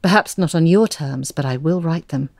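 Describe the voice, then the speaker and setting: A low-voiced, wistful woman. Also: normal speed, gravelly, a little mellow, dry recording, studio quality. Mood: impassioned, resolute. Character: wistful woman confessing as a lifelong would-be storyteller. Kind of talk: intimate dramatic monologue in an audio drama.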